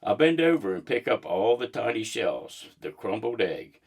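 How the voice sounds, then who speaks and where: A man reading a children's book aloud in an expressive storybook narration style. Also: on the low side, normal speed, creaky.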